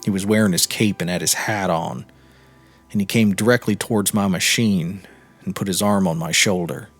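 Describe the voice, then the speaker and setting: A man narrating a literary short story in a measured, expressive storytelling manner. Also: deep voice, dry recording. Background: music.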